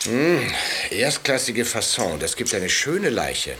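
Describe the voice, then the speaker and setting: A man's voice: medium pitch, big pitch swings, slow pace, background noise. An actor playing an ailing, fed-up stranded man in a radio drama, dry, grumbling and sarcastic. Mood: sardonic.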